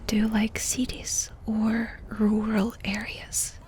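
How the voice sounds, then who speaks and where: A woman doing ASMR in a breathy whisper, softly and intimately asking questions with pauses between them. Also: a little high, monotone, a little hiss or hum. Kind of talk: whispered ASMR personal-attention roleplay.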